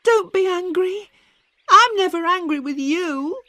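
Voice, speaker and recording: A high, tearful nine-year-old girl, Maggie. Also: lively intonation, a little slow, pushed and bright, no echo, full character voice. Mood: pleading. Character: little girl, nine, emotional and eager.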